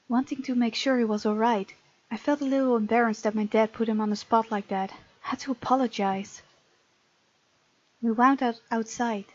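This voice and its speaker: A woman's voice: on the high side, darkish tone, no room sound. A woman hosting a gaming video, reading game text aloud and reacting to the characters in a casual, playful way. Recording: slightly dull sound, music behind. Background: game music.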